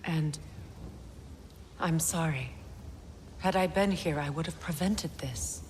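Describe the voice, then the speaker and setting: A sorrowful, motherly goddess of middle age for a video game. The voice sits fairly low and moves with measured, regal earnestness. Faint ambient noise lies behind it. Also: darkish tone. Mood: wistful, sincere. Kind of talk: cinematic video game acting.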